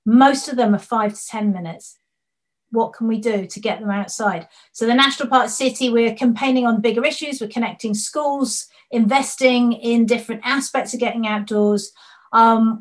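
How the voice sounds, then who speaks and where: A woman's voice: on the high side, steady tone, normal speed. Upbeat woman presenting to a panel. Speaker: panel speaker. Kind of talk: presentation over slides.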